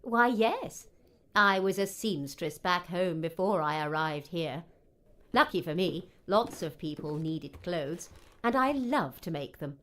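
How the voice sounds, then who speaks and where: A woman's voice: on the low side, lively intonation, slow pace, a bit bright. A woman playing a kindly, chatty, motherly seamstress in an audiobook drama. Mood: cheerful, chatty. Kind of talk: audiobook character acting.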